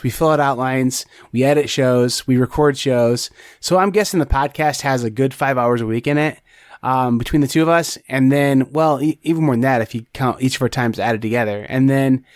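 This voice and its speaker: A man's voice: medium pitch, no room sound, clean studio sound. A man co-hosting a board game podcast, talking casually and rambling with plenty of restarts. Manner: rambling, many restarts and fillers. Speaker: podcast co-host. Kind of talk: casual chat.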